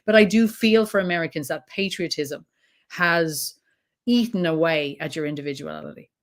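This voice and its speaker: A woman with an Irish accent, a trauma expert talking as a guest in an interview, blunt and animated, swearing freely. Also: deep voice, moderate pace. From Ireland. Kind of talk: podcast interview conversation.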